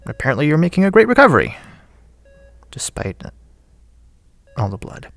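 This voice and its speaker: An actor playing a friendly, teasing friend with a hesitant, chatty manner in a naturalistic audio drama. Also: male voice, a little high, wildly swooping pitch, moderate pace, creaky, no echo, heavily acted. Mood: friendly, nervous, teasing. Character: friendly, teasing male friend leaving a recorded message.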